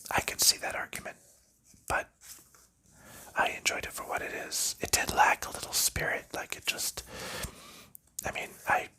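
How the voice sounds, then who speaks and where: A man whispering softly through an ASMR board game session, chatting in a rambling, easygoing way while sorting cards. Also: normal speed, long pauses. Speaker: ASMR host.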